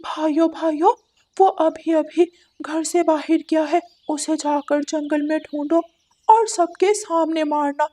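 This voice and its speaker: A woman voicing a spiteful, bossy female cartoon crow with a shrill, complaining, demanding manner. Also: fairly even intonation, slow, dark tone. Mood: vengeful.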